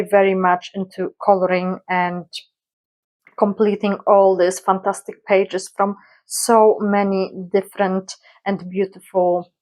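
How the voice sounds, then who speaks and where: Slow, hesitant woman with a Polish accent. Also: mid-range voice. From Poland. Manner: hesitant, many fillers, slow and thoughtful. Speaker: YouTuber. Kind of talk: casual introductory vlog.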